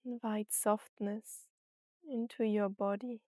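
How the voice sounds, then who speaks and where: A woman narrating a guided meditation and story in an unhurried, soothing way, with long pauses between phrases. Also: medium pitch, little pitch movement, warm tone, dry recording.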